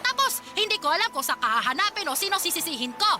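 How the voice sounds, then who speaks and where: A woman's voice: extremely high pitch, very animated, fast talker, over loud music. An actress playing a strict, worried mother scolding her teenage daughter in a Filipino radio drama, with a Filipino accent. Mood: worried, stern. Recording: loud ambient noise behind the voice.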